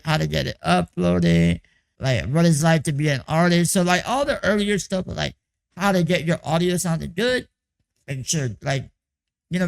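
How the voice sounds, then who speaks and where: A young man with a hoarse, croaky voice that is heavily gravelly and pitched fairly high for a man. He talks in a loose, rambling way, leaning on "like" and "you know" as he checks in with his viewers on a music production course. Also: poor audio. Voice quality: raspy, croaky. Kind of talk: casual vlog check-in.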